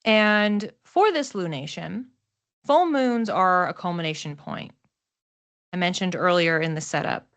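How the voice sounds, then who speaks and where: Lower-voiced woman explaining an astrology forecast. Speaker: astrologer, presenter.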